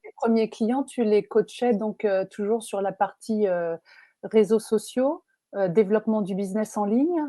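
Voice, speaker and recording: A woman's voice: medium pitch, moderate pace, mellow, no room sound. A woman hosting an online interview, welcoming her guest with enthusiasm and asking careful questions. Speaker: interview host and life coach. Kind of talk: video interview.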